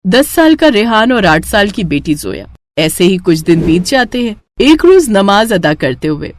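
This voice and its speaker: A woman's voice: medium pitch, sing-song, measured pace, dry recording. A woman narrator reading a scripted moral story for children.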